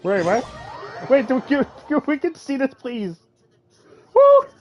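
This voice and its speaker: A woman's voice: sits high, huge pitch swings, unhurried, lo-fi sound, a little hiss or hum. A woman streaming a game and reacting with laughs and exclamations. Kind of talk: live gameplay reactions.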